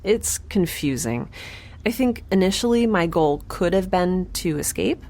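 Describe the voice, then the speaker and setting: Young space scientist lady, kinda low voice, wry and rambly, faint noise behind. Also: expressive, unhurried, a little mellow. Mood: musing. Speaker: narrator and lead character. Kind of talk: audio drama log, conversational.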